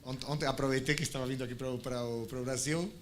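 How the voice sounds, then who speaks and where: A man from Argentina with an Argentine Spanish accent, speaking on a conference panel, halting with frequent restarts and fillers. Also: medium pitch, fairly even intonation, a little hiss or hum.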